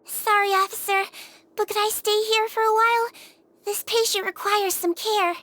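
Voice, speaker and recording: Tiny, high-pitched gentle nurse creature. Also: female voice, steady tone, moderate pace, slight background noise. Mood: earnest. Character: small gentle Melusine head nurse, female, childlike, earnest. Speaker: nurse and doctor character. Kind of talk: video game voice acting.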